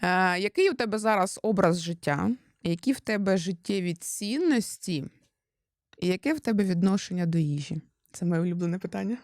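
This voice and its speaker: A woman's voice: lowish voice, lively intonation, a little fast, no echo, clean studio sound. A young woman hosting her husband in a casual, teasing interview, chatty and playful, mixing in Russian words.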